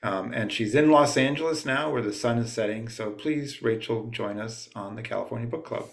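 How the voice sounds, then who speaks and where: A man's voice: mid-range voice, normal speed, creaky. A man hosting a book club over a video call, introducing a guest author with frequent hesitant fillers. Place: at home late at night.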